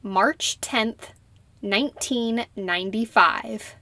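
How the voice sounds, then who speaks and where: A young woman playing a polite citizenship applicant who answers slowly and carefully in a scripted practice interview. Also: fairly high pitch, bright, forceful tone, no room sound, studio quality, heavily acted. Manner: slow, careful, deliberate answers. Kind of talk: scripted role-play interview.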